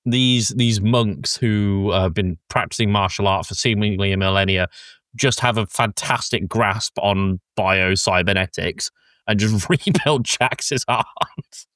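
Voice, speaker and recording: A man's voice: on the low side, a little slow, a bit bright, dry recording, clean studio sound. A man hosting a casual, informal film discussion, explaining things in a geeky way with some swearing. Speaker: podcast host.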